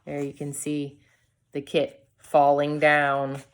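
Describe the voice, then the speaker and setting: Slow-paced woman reading a children's story. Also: deep voice, noisy background. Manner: expressive, deliberate dramatic pauses between short phrases. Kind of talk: storybook read-aloud with brief asides to viewers.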